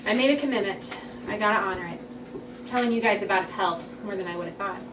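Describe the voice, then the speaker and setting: A woman acts out a young comic artist, earnest and wry, in a candid conversational register at middling pitch. It is a script read in a roomy space with clear background noise. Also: fast talker, natural voice. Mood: sincere. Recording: echoey, noisy room, distant mic.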